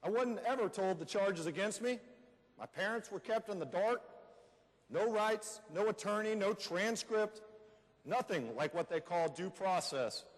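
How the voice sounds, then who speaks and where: A man's voice: sits high, expressive, unhurried. A man with an American accent playing a plainspoken man who recounts his wrongful jailing as a teenager in a readers theater monologue. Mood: indignant. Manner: conversational storytelling with emphatic short phrases. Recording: reverberant hall.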